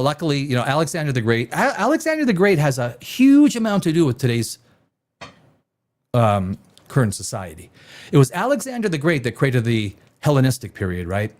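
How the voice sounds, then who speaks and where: A man's voice: mid-range voice, a little mellow. A man hosting a livestream alone, talking off the cuff in a voice that rises and falls a lot. He jumps between tangents, restarts his sentences and swears freely. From Czech Republic. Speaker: livestream host. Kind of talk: rambling solo livestream talk.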